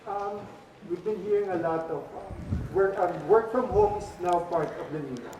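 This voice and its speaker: A woman's voice: mid-range voice, normal speed, mellow, muffled recording, a little hiss or hum. A woman judge asking a pageant interview question hesitantly, with many fillers. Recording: distant and echoey in a room.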